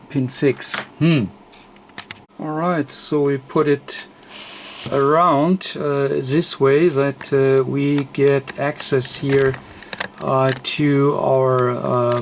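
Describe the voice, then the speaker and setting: A man talks through a hands-on technical check in a medium-pitched voice. His delivery is extremely slow and halting, broken into short pieces as he thinks aloud. Faint noise sits behind the voice. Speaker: electronics repair host. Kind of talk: unscripted technical demonstration.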